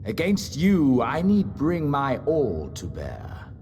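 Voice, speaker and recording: An actor playing a battle-hungry, brooding warrior rival in a video game, with grave, theatrical, deliberate delivery and a gravelly voice. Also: male voice, mid-range voice, huge pitch swings, a little mellow, slight background noise. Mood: solemn intensity. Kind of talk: dramatic video game voice acting.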